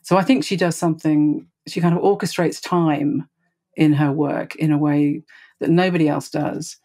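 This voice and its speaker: A woman's voice: low voice, fairly even intonation, normal speed, dark tone. Woman, interviewee, reflective commentary, theatre professional. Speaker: theatre play-development director interviewed.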